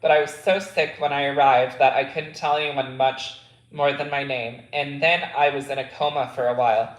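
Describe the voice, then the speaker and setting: A man reading the lines of a gentle, weakened woman recovering in hospital, in his own voice. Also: mid-range voice, level tone, normal speed, echoey room, lightly acted, low-quality recording. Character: weak sick aunt, woman, gentle.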